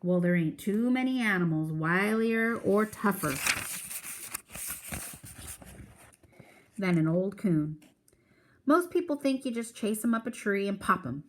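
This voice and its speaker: A woman's voice: mid-range voice, animated, moderate pace, lightly acted. A proud old Southern country man , read by a woman, spins a folksy yarn. The telling is drawn out and earnest, in storybook read-aloud style. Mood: proud, wistful.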